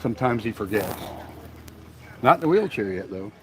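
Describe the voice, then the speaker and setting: An older man joins a live stream as co-host and makes dry, teasing jokes. His talk is relaxed and easy. The recording is outdoors, with noise plainly heard behind him. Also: fairly low pitch, moderate pace, poor audio. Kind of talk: casual live-stream chat. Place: outdoors at a beach house.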